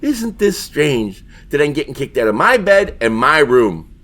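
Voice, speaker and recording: A man's voice: a little high, sing-song, unhurried, fairly bright tone, exaggerated voice. A man playing a sarcastic, put-upon housemate of monster hunters in a comedy-horror audio drama. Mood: irritated, sarcastic. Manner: sarcastic, griping.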